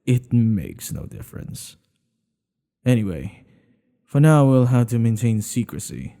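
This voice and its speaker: A man playing a smitten older king in an ASMR boyfriend roleplay, regal and teasing, with courtly phrasing and a breathy voice that often drops toward a whisper. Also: mid-range voice, very animated, unhurried, warm tone. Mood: reassuring, tender.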